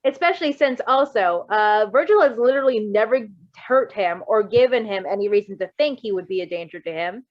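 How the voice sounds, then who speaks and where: A woman's voice: mid-range voice, expressive, brisk, hardly any pauses, dry recording, highs cut off. A woman reading a story aloud expressively, in an informal, giggly way, breaking off to comment casually. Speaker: fan fiction reader.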